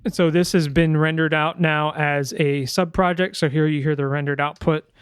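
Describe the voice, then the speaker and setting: A man with a slightly high, very clean and smooth voice walks through software in a casual tutorial. He thinks aloud and corrects himself informally, recorded close and dry with little room sound. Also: fairly even intonation, moderate pace, no echo. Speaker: sound designer presenting a tutorial. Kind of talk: casual screen-share software walkthrough.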